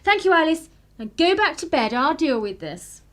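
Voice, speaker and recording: A woman plays a clever young upper-class English lady in a radio drama. Her voice is high and very lively, with an arch, teasing, commanding poise. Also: normal speed, slight background noise. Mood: brisk, composed. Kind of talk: radio play acting.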